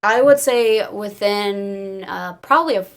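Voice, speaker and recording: Female co-host, mid-pitched, giggly, excitable casual storyteller. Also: unhurried. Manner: excitable, giggly, peppers talk with "like". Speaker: podcast co-host.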